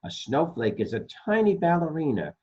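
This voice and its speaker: A man's voice: a little high, big pitch swings, normal speed, warm tone, breathy edge, dull audio, voice pushed up. Lilting, whimsical toy stingray voiced by a man. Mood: dreamy wonder. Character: dreamy, whimsical toy stingray, female, fanciful and sweet. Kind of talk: children's picture-book read-aloud.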